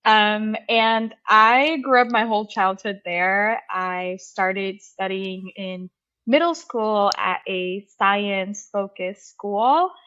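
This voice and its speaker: Soft-spoken young woman from Puerto Rico, muffled. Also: mid-range voice. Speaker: guest, PhD student. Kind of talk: podcast interview.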